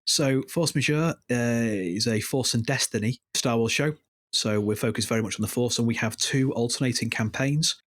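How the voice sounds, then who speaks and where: A man's voice: mid-range voice, moderate pace, fairly bright tone, dry recording, clean studio sound. A man guest on a casual podcast roundtable who describes his show at length in an earnest way.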